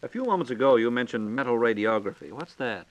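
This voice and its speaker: A man's voice: medium pitch, lively intonation, quick pace, no room sound, lo-fi sound. An American man hosting a scripted 1940s radio program, asking questions in a folksy, inquisitive way. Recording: old 1940s radio recording.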